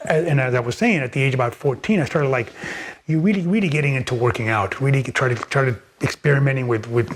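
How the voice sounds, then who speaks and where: A man's voice: mid-range voice, fast talker. An older man from Miami, speaking as an interview guest at home in his library, telling his life story casually with many fillers and repeated words. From Miami, United States.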